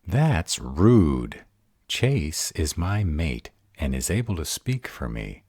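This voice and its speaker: A man's voice: rumbling low, very animated, slow pace, darkish tone, husky, no room sound, studio quality, heavily acted. A man acting a sarcastic, baffled vampire in an audio roleplay drama, wary and exasperated, with a casual, sweary manner. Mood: annoyed.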